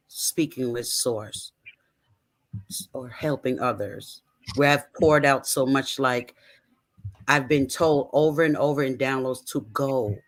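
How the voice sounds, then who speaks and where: A man sharing earnestly with the group, hesitant and restarting as he speaks. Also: mid-range voice. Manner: earnest, hesitant, laughing softly.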